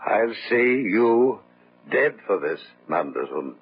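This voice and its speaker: A man's voice: lowish voice, sing-song, breathy edge, faint music behind, heavily acted. An actor playing a firm, grieving manservant who stands up to his master in a radio drama. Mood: quiet menace. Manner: slow, firm, deliberate. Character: grieving, defiant manservant, husband of a dying wife. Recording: muffled, phone-like old radio recording.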